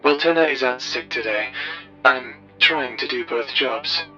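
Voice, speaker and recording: A man's voice: medium pitch, measured pace, bright, forceful tone. A man playing a polite, caring, overworked colleague on a filtered radio call in a sci-fi audio drama. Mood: polite, concerned. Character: caring, overworked colleague calling an ex pirate friend. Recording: dull filtered radio call, music behind.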